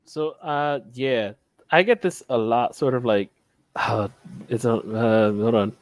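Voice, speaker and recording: A man's voice: medium pitch, takes it slowly, no echo. A young man from Philadelphia, a panel guest in casual chat on a video call from home, rambling and losing his train of thought. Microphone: headset.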